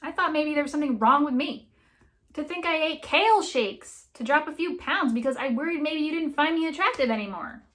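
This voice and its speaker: A woman's voice: high-pitched, fairly quick, a bit bright, pitched above the performer's own voice. A woman voicing a jilted young woman in an audiobook, indignant and incredulous, with an emphatic, exasperated way of talking. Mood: exasperated ranting. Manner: exasperated, emphatic, stammering disbelief.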